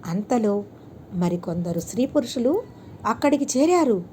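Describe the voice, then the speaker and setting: Female storyteller for a moral-tale audiobook: fairly high, soft-toned voice, measured and expressive, faint noise behind. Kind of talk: scripted narration of a moral story.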